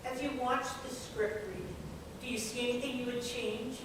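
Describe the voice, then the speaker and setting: A woman's voice: on the high side, steady tone, moderate pace. A woman asking questions spontaneously at a live question-and-answer session. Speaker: questioner at a film festival Q&A. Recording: echoey room, distant mic, faint noise.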